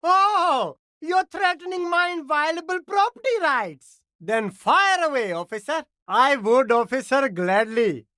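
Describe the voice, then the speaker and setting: Casting: high-voiced game street hustler, slick, eager, swooping delivery, flattering slangy patter, dry close sound. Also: a little slow, dry recording, full character voice. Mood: sly, ingratiating. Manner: salesman's patter, flattering, laid-back slang. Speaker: street vendor character in a video game. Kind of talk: video game character dialogue.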